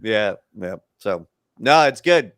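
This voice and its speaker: Man, fifties, podcast guest, smooth voice, dry sound, wry. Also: fairly low pitch, lively intonation, takes it slowly, fairly bright tone, no room sound. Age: 50s. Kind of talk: casual chat.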